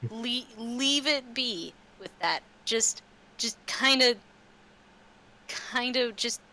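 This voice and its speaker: Slow, halting woman game master. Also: on the high side, expressive, sharp tone, a little hiss or hum. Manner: halting, repeats words. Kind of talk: narrating a tabletop roleplaying game.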